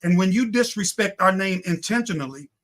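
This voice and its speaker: A man's voice: high voice, lively intonation, brisk, a bit bright. A man speaking as a talk show guest in an impassioned political discussion, emphatic and rhetorical, repeating phrases for effect.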